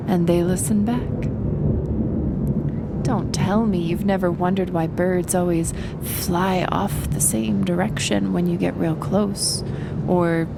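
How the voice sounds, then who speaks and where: Slow, gravelly supervillainess, played by a woman, over noise. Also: medium pitch. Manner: teasing, unhurried, pausing between phrases. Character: wry, confident supervillainess who talks to animals. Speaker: voice actor in an audio roleplay. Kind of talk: ASMR roleplay acting, intimate monologue to the listener.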